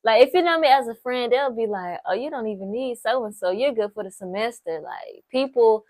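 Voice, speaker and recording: A woman's voice: on the high side, expressive, normal speed, a little mellow, no echo. Young woman vlogger, nineteen, chatty and encouraging, dry close recording. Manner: chatty, repeats herself for emphasis, lots of "like" and "so, yes"; encouraging, speaks straight to the viewer. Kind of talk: casual advice talk to camera.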